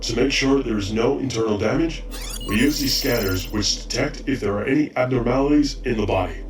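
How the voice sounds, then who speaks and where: A man's voice: medium pitch, a little fast, close to an everyday voice. Haughty commanding medic instructor, male actor, audio drama, roomy recording with faint music behind. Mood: brisk, instructive. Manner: stern, commanding, exacting. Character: haughty, drill-sergeant male robot chief medic training recruits. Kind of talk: amateur audio drama acting. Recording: roomy, slightly distant mic, faint music.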